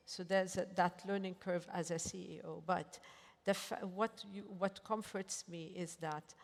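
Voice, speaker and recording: A woman's voice: lowish voice, creaky. A woman bank executive speaking earnestly on a panel, often restarting her sentences. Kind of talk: panel discussion. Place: large hotel conference hall. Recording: reverberant conference hall.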